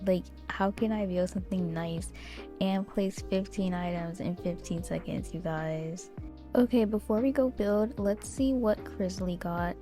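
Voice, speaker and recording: A woman's voice: mid-range voice, unhurried, mellow, faint music behind. A young woman giving casual, chatty commentary over a video game as host.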